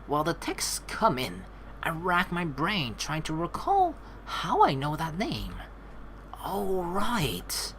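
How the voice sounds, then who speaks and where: Low-voiced, very lively young woman gamer. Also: slow, a little hiss or hum. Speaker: let's play streamer. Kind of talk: game playthrough, reading aloud with casual commentary. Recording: faint game music behind.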